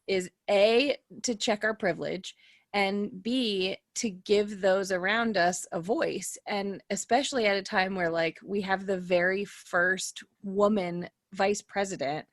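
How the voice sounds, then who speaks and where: Woman chatting on a podcast, earnest and personal, medium pitch, dry close sound. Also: fairly even intonation, a little slow, dry recording. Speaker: podcast panelist. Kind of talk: casual, personal discussion.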